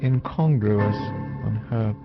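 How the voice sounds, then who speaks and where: Low, creaky, reflective male storyteller. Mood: melancholy, reflective. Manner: measured, reflective, literary. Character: wistful man recalling his youth, reflective storyteller. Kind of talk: first-person dramatic narration. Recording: dull lo-fi audio with music. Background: music.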